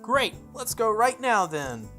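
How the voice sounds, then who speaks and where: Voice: medium pitch, big pitch swings, takes it slowly, pushed and bright, voice pushed up, heavily acted. A game player voicing a frank, tomboyish teenage schoolgirl from a visual novel, blunt and friendly, with music behind. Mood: frank, eager. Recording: music under the voice.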